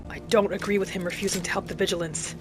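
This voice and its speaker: A woman's voice: medium pitch, fairly even intonation, quick pace. A woman doing in-character roleplay commentary for a game, speaking in an earnest, dramatic way. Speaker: gameplay roleplayer. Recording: game music behind the voice. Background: game music.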